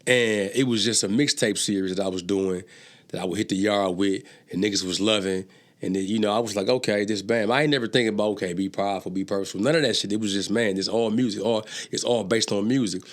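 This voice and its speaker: A man's voice: on the low side, fast talker. A man, a rapper and entrepreneur, talking as the guest in a casual conversation, telling stories with profane street slang and stammering through restarts. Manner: stammers on restarts when excited.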